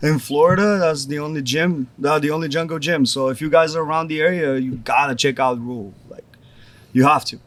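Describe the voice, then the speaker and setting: Bulgarian guy in his 20s, kinda high voice, says like a lot. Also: fairly even intonation, quick pace, a bit bright. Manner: rambling, says "like" often. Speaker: pro wrestler guest. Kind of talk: casual interview.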